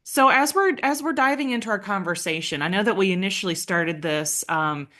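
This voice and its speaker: A woman's voice: mid-range voice, expressive, brisk, fairly bright tone. A woman hosting a podcast, chatty and enthusiastic, laughing often. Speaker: podcast host. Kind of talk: casual interview chat.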